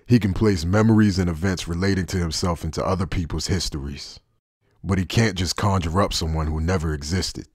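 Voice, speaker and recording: A man acting as a world-weary, cynical anime traitor speaks in a deep voice with a husky grain. He is guarded and wry, laid-back yet deliberate, in a very dry, close sound. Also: dry recording. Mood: grim, matter-of-fact. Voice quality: husky, low. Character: cynical, guarded male former Soul Reaper turned traitor. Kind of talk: anime fan dub acting.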